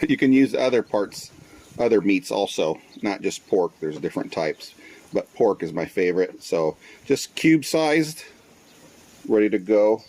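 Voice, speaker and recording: Low-voiced man cooking outdoors, noisy. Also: a little slow. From Philippines. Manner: relaxed and chatty, with many uh fillers and Tagalog phrases mixed in. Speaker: cooking channel host. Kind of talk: casual cooking vlog. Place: outdoor front patio, windy. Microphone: Bluetooth earbuds with a cell phone. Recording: noisy outdoor phone recording with wind and sizzling. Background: sizzling and stirring in a wok.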